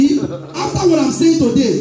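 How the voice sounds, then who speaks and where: A man interpreting a sermon into English, quick and emphatic, repeating phrases, in a church hall. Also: extremely high pitch, expressive, a crowd in the background. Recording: echoey church hall with music.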